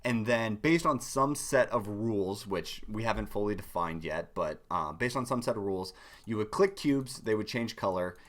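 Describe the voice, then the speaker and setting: A man with a hoarse voice from being sick, hosting a casual live-stream game development tutorial and rambling with many ums and restarts. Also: on the low side, fairly bright tone. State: hoarse from illness.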